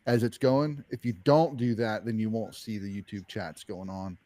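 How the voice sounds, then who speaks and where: A man's voice: on the low side, moderate pace, warm tone, dry recording. A man in his forties hosting a casual watch-along stream, easygoing and guiding the guests along. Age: 40s. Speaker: host. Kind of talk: casual chat.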